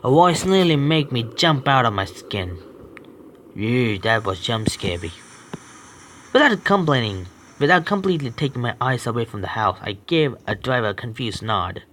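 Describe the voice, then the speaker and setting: A young man reading a horror visual novel aloud, stumbling and correcting himself with quick apologies and reactions. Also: mid-range voice, lively intonation, a bit bright, slight background noise.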